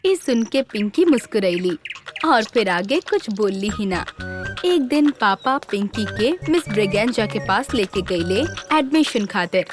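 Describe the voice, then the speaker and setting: A woman with a Bhojpuri accent giving scripted narration for an animated children's story. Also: medium pitch, a little slow, over music.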